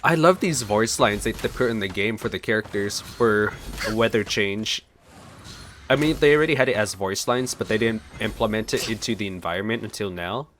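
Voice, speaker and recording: A man's voice: lowish voice, normal speed, fairly bright tone, a little hiss or hum. A man giving casual let's play commentary as a streamer, sometimes reading game text aloud. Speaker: gaming streamer.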